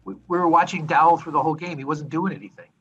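A man's voice: fairly high pitch, racing, slightly rough, lo-fi sound. A male reporter putting pointed, skeptical questions to a coach at a press conference.

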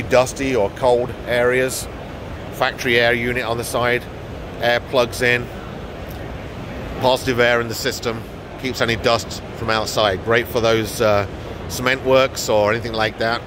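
A middle-aged man giving an informal product tour to camera as a company representative, recorded on a handheld phone in a large trade show hall. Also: mid-range voice. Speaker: business development manager presenting products. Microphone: handheld phone at arm's length. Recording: noisy exhibition hall ambience.

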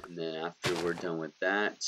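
Hoarse deep-voiced man narrating a game walkthrough. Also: moderate pace, noisy background. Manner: relaxed, many ums and filler restarts. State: hoarse, recovering from illness. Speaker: gaming guide creator. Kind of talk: walkthrough commentary over gameplay. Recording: music playing behind.

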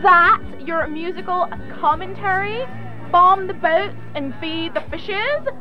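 Outspoken high-voiced woman activist. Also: takes it slowly, muffled recording, music in the background. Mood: indignant. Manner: forthright. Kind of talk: radio play acting.

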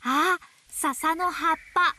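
A woman playing a gentle, motherly owl teacher who reads picture books to toddlers with playful sound words. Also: very high-pitched, slow, heavily acted. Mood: playful storytelling. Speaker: picture book storyteller for babies. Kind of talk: picture book read-aloud for toddlers. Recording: faint background noise of nature. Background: nature sounds.

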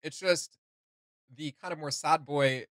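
Bright-voiced young man reacting. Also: a little high, steady tone, unhurried, no room sound, studio quality. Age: young adult. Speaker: reaction video host. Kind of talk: casual reaction commentary. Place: small indoor room with gaming setup.

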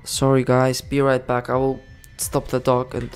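A man giving casual commentary over a management game, excitable, swearing and yelling orders at his units. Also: medium pitch, normal speed, clear, resonant tone, soft background music. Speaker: gaming channel host.

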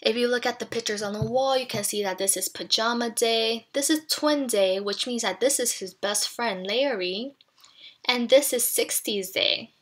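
A woman reading a children's picture book aloud expressively, pausing to explain things to young listeners. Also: fairly high pitch.

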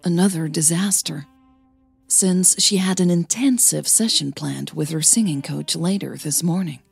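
A woman's voice: fairly low pitch, measured pace, gravelly, husky, dry recording, soft background music. A woman hosting a podcast and narrating a scripted audio story.

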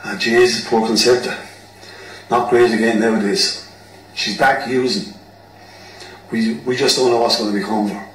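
A man's voice: on the low side, steady tone, moderate pace, pauses often, lightly acted. A man playing a blunt, hot-tempered, wounded Irish bandmate in a radio play. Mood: downcast. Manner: blunt, emotional, sweary. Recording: roomy, distant mic, background noise.

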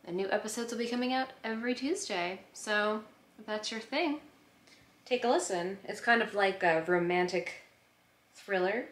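A woman's voice: medium pitch, moderate pace, fairly bright tone, echoey room. A woman in her thirties chatting casually to a camera as a vlog host.

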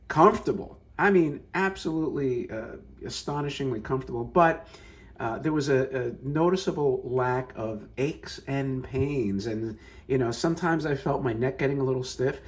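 A man narrating his own car video in a loose, rambling way, full of fillers and restarts. His voice sits at an ordinary middle pitch. He records on a phone while looking out from a hotel room and later walking out to a parking lot. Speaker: car vlogger. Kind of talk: casual off-the-cuff commentary.

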